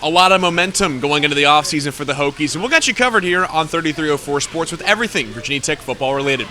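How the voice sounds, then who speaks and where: Lively young male sports reporter. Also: on the high side, quick pace. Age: young adult. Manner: polished, lively delivery. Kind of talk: scripted on-camera sports report.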